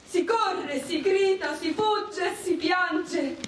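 A woman performing a dramatic poetic monologue about war in a slow, theatrical delivery. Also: extremely high pitch. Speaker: performer reciting. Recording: echoey, distant pickup with background noise.

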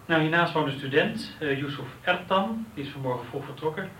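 A man's voice: a little high, lively intonation, fairly quick, fairly bright tone. Wry male detective, roomy sound. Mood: dry, matter-of-fact. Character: male police detective, wry, matter-of-fact and cynical. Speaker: actor in a radio play. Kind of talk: naturalistic Dutch radio drama acting. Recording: roomy, distant, noisy radio play recording.

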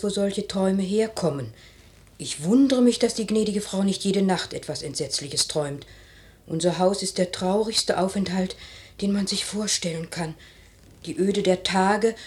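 A woman's voice: mid-range voice, normal speed, a little mellow, lightly acted. A stubborn maidservant who speaks her mind to her lady, played by a woman. She has a mid-range voice and an insistent, theatrical manner suited to classical radio drama. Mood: insistent, gloomy.

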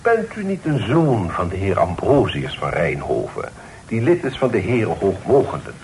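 An actor playing a sober, dignified middle-aged father, speaking formally and deliberately in a period radio play. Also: sits low, wildly swooping pitch, darkish tone, exaggerated voice. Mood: polite, genial. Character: sober, reasonable, dignified father of a genteel family. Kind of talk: period radio drama acting. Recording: old radio recording with faint noise.

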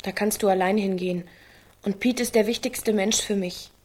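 An actress playing a stubborn, teasing teenage daughter in a radio drama, speaking in a blunt, laconic way. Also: female voice, mid-range voice, steady tone, normal speed, a little mellow, breathy edge, lightly acted. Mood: defiant. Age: young adult. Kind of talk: naturalistic radio drama acting.

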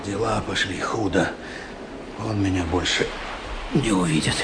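Low-voiced, bold, callous smuggler boatman. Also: unhurried. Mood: grim, hushed. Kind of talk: radio drama acting. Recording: noisy sea surf sound effects behind. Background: waves and surf.

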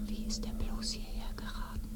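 An actress in a radio drama playing a lonely, remorseful, secluded village woman with a soft, breathy, brooding delivery. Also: moderate pace, close to an everyday voice. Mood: hushed, uneasy. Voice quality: soft, breathy, often whispering. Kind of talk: intimate radio play acting. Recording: roomy binaural radio drama recording.

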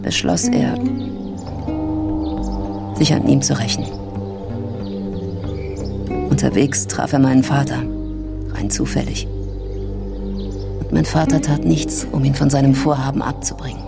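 Casting: frank female confidante with fry in a low voice, telling secrets steadily, radio drama. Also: loud music behind the voice, exaggerated voice. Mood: grave, measured. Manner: measured, confiding storyteller. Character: candid, knowing adult daughter of a Chinese immigrant in Havana. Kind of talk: German radio drama acting.

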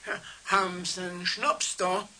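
An actor playing a helpful, nosy neighbour in a 1970s radio play, speaking hesitantly and folksily with a Bavarian accent. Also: male voice, sits high, steady tone, unhurried, sharp tone, full character voice. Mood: helpful, curious. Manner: hesitant, folksy, tagging sentences with questions. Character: helpful, talkative, nosy neighbour man, married. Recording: faintly hissy 1970s radio recording.

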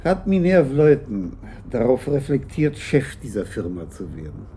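An actor playing a formal, probing police detective who questions tersely in a German radio play. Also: male voice, medium pitch, very animated, unhurried, dark tone, a little hiss or hum.

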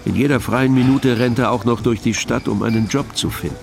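A man's voice: mid-range voice, a little fast, creaky. A man giving scripted literary narration in an audio production. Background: music.

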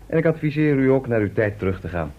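A man's voice: mid-range voice, big pitch swings, quick pace, close to an everyday voice. A man playing a formal, decisive time traveller from the future in an old, muffled radio play. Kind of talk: vintage Dutch radio drama acting.

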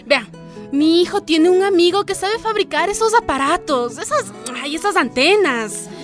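Radio drama villager: chatty, excitable mother, extremely high voice, music behind. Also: normal speed. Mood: enthusiastic. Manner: exclaiming, colloquial, fumbling for words.

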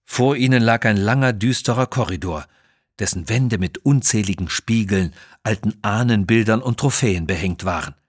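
A man's voice: on the low side, moderate pace, husky, no room sound, clean studio sound. A man narrating a scripted children's adventure story in a dramatic style.

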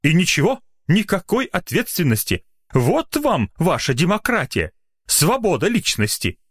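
A man's voice: sits high, sing-song, moderate pace, dry recording, clean studio sound, pitched above the performer's own voice. A man voicing an indignant, blustering, officious doctor in a narrated story, easily flustered and outraged. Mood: exasperated, angry. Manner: officious, blustering, self-justifying.

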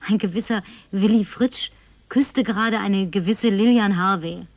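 An actress playing a tipsy, flirtatious, lonely woman survivor in a radio drama, coquettish and slipping into breathy whispers. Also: mid-range voice, measured pace, dry recording, natural voice. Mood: tipsy reminiscing. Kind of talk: German radio drama acting.

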